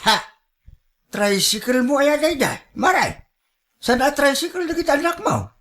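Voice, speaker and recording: A man's voice: extremely high pitch, very animated, measured pace, fairly bright tone, exaggerated voice. A man plays a middle-aged village moneylender in a radio drama. He has a Filipino Ilocano accent. He comes across as wary and practical, asking questions in a matter-of-fact way.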